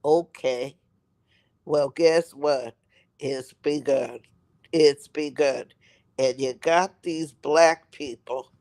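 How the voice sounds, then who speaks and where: A man with a raspy voice from a head cold, rambling on a live stream and repeating himself for emphasis. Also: a little high, steady tone, very slow, long pauses, a little mellow. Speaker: livestream host. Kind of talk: casual live-stream commentary.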